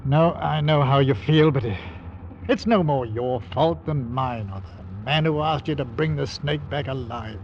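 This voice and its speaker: A man's voice: medium pitch, measured pace, natural voice. Man playing a steadying older captain, fatherly and plain-spoken, old radio play, slightly noisy muffled recording. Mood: consoling. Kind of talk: old-time radio drama acting. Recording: dull vintage radio recording, faint noise.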